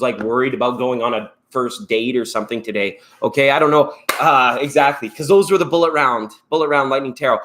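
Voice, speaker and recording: A man's voice: on the high side, a bit bright. Chatty Canadian man hosting a live stream. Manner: chatty, rambling, upbeat, thanking viewers by name. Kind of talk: casual live-stream chat.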